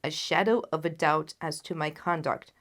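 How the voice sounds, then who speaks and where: A woman's voice: low voice, steady tone, moderate pace, a bit bright. A woman voicing a haughty, anxious king in a storybook, speaking in a solemn and emphatic way. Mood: grave, anxious. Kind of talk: dramatised audiobook reading.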